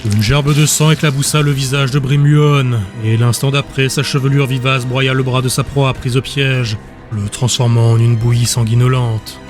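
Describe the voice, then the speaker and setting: A man narrating a dark fantasy audiobook in a steady, measured storytelling voice. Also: mid-range voice, over music. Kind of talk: scripted narration.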